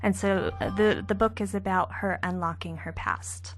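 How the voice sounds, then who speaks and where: A young woman author answering questions in a radio interview, soft-spoken with a gentle, light manner. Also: mid-range voice, expressive, normal speed, gravelly. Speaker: author guest.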